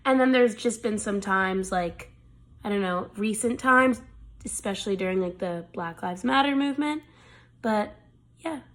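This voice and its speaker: A woman's voice: mid-range voice, a little slow. An eighteen-year-old woman answering personal questions frankly and casually.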